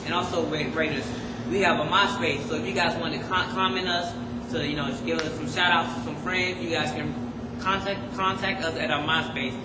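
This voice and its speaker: A man's voice: on the high side, steady tone, brisk. A teenage boy anchoring school announcements from a desk, reading them with small stumbles. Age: teens. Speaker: student news anchor. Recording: echoey room from far off with loud noise.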